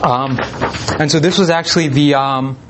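A man giving an informal talk, reading letters aloud in a relaxed way with frequent pauses and ums. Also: on the high side, noisy background. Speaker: presenter.

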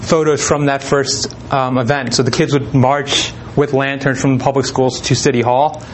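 A man of ordinary pitch gives an informal talk, reading old letters aloud to his listeners. He speaks in a relaxed, unhurried way, and his reading is broken by ums and small restarts. A faint hiss sits behind his voice. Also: rough recording. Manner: relaxed, hesitant with frequent ums. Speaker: presenter.

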